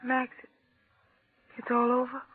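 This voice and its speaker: Dazed, tender young woman, high voice, 1940s radio drama, thin vintage broadcast. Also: monotone, slow pace, narrow, tinny audio. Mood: dazed, anxious. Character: dazed, loving young woman waking from faint.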